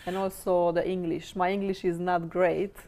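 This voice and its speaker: Gravelly deep-voiced Hungarian woman. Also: level tone, mellow. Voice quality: slightly deep voice. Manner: measured, searching for words. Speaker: interviewee. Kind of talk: casual interview answers.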